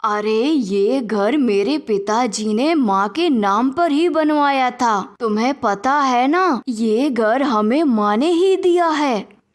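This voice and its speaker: A woman's voice: on the high side, expressive, takes it slowly. A woman voicing an evasive, cowardly vegetable son and husband in a cartoon moral story, pleading in manner. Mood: protesting.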